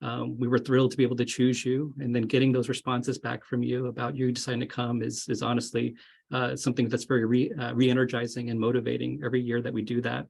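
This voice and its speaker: Gravelly-voiced man on a webinar call. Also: mid-range voice, level tone, quick pace, darkish tone. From grew up moving around, largely Florida. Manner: friendly, rambling, many fillers and restarts. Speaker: associate dean speaking to incoming students. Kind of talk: casual webinar talk. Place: home or office, indoors. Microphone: video call.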